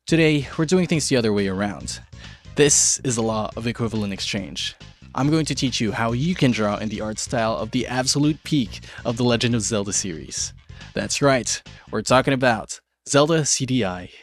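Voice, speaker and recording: A man's voice: medium pitch, expressive, moderate pace, faint music behind. A man hosting an art tutorial video, narrating in a semi-scripted but casual way with playful, tongue-in-cheek asides. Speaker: video show host, art tutorial presenter.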